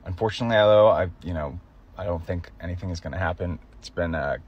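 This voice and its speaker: Low, husky-voiced man musing. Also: fast, highs cut off. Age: 30s. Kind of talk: casual rambling phone vlog. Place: inside a parked car. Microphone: phone at arm's length.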